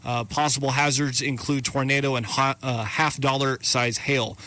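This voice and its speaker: A man's voice: medium pitch, little pitch movement, moderate pace, pushed and bright. A man hosting live radio severe weather coverage, reading warnings, place names and safety instructions in a matter-of-fact, urgent way.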